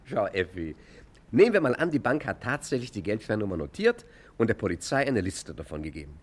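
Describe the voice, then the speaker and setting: Cocky gangster, swooping low voice. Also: male voice, sing-song, fairly quick. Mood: lecturing. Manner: swaggering, condescending, explains as if to a pupil. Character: cocky smooth-talking gentleman gangster, male. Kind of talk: 1950s German radio play acting. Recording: noisy vintage radio play recording.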